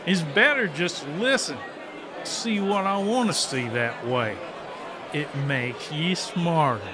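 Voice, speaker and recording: Man playing a slow, folksy bar hustler. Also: fairly high pitch, lively intonation. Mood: matter-of-fact. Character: cocky, folksy cowboy-talking male bar hustler. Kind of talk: dramatised audiobook character acting. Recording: music and crowd noise behind.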